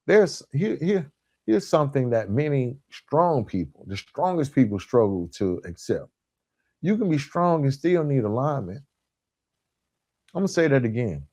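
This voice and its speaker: A man hosting a motivational coaching monologue, speaking directly and informally to listeners with emphatic, deliberate phrasing and repetition. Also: medium pitch, big pitch swings, a little mellow, no room sound. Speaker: podcast host and author.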